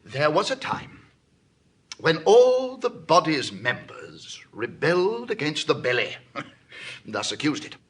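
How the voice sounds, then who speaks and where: Sly genial roman nobleman in shakespeare, husky voice, really swoopy and theatrical. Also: a little high, wildly swooping pitch, heavily acted. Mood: sly storytelling. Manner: theatrical, teasing, drawn-out delivery. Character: genial, wily Roman patrician telling a fable. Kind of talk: classical Shakespearean stage acting.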